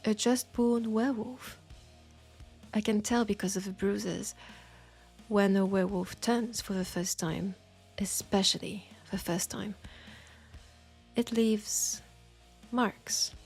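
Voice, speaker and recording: A woman with a French accent playing a knowing, teasing witch in an intimate roleplay audio drama, with husky, breathy speech and dramatic pauses. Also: mid-range voice, fairly even intonation, darkish tone, soft background music, exaggerated voice. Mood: knowing, mysterious. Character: knowing, teasing, compassionate witch in a secluded werewolf town.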